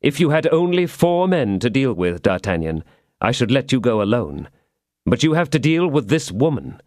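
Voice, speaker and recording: Guy reading as a grim quiet swordsman, low-key, dry close sound. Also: big pitch swings, normal speed, dry recording, lightly acted. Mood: somber. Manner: quiet, somber. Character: grave older male swordsman, quiet and foreboding.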